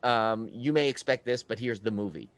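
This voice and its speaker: A man hosting a podcast, chatting casually about films in halting phrases that often circle back. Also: on the low side, no echo. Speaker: podcast host. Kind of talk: casual film chat.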